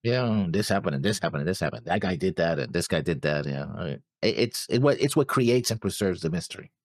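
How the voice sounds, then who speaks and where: A man co-hosting a reaction show, chatting and reading comments casually, hesitant and halting, often repeating his words. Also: deep voice, lively intonation, no room sound, studio quality. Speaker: co-host of anime reaction show.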